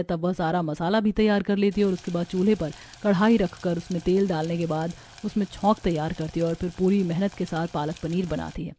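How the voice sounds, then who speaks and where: A woman's voice: lowish voice, warm tone, dry recording. A woman giving scripted narration of a simple cartoon family story.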